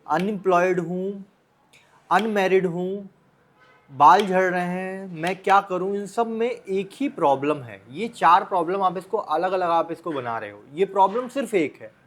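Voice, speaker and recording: A man's voice: sits high, fairly bright tone. An Indian man giving informal motivational advice straight to the listener, bluntly, with rhetorical questions and repeated phrases. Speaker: advice vlogger.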